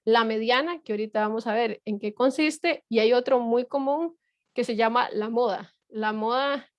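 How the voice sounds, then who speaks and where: A woman's voice: on the high side, normal speed, dry recording, muffled recording. A middle-aged woman lecturing a class online, explaining patiently with frequent fillers and rhetorical checks. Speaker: university lecturer.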